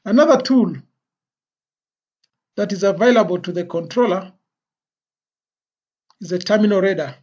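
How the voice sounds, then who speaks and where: An instructor giving an explanatory lecture in a deliberate, phrase-by-phrase way with pauses. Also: medium pitch, a little mellow. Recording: dull, lo-fi voice recording.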